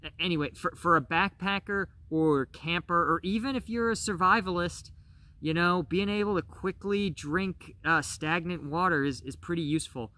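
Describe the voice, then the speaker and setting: Host, man in his 40s, a bit high and bright, chatty outdoor gear review, forest setting. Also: normal speed. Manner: conversational, frequent "right?" and fillers. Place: outdoors in a bare winter forest.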